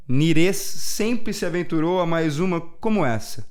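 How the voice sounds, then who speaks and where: A man's voice: a little high, expressive, measured pace, a little mellow, smooth. A man reading scripted narration for a documentary.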